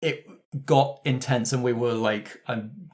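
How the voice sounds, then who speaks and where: A man speaks in a mid-pitched voice, as a game master chatting after a session. His delivery is casual and conversational, explaining rules and story choices to a group. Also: slow, darkish tone. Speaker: game master of an actual-play podcast. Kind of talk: casual post-game discussion.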